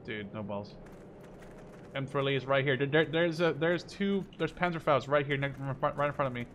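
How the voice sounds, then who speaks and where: A man in his twenties from the Netherlands, hosting a gameplay video in casual chat with a friend, excitable and teasing, swearing often. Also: mid-range voice, brisk, highs cut off. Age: 20s. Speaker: gaming video host. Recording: game sounds loud behind voice. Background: game noise, gunfire.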